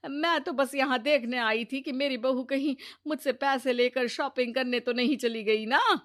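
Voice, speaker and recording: A woman's voice: high-pitched, normal speed, fairly bright tone. A woman voicing an elderly, suspicious and boastful mother-in-law in a cartoon story, theatrical and fussy in her delivery. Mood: puzzled. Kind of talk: Hindi cartoon storytelling dub.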